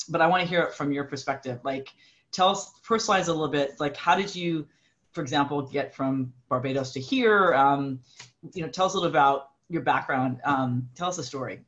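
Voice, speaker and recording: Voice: medium pitch, a bit bright, muffled recording. A podcast host reading a guest's bio and asking questions in a chatty, hesitant way with many restarts. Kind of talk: podcast interview conversation.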